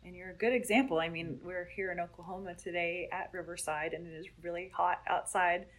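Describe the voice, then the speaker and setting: A woman's voice: on the low side, steady tone, fairly quick. A woman interviewer who encourages her guest, affirms what he says and laughs easily. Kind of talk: friendly interview.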